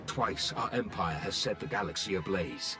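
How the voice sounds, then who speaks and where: An actor playing a grim, proud and weary Sith commander in a video game, speaking in a solemn, commanding and deliberate way. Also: male voice, deep voice, lightly acted. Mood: solemn, grave. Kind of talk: dramatic game voice acting. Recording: loud music behind the voice.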